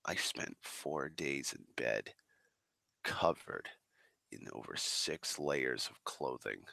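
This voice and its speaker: A man rambling slowly on a stream, swearing often and sighing, a little congested as he gets over the flu. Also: extremely low pitch, animated, bright, forceful tone, dry recording. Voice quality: gravelly. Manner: swears a lot, drawling, slow and sighing. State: recovering from flu, slightly congested. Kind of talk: rambling casual monologue. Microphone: desk microphone.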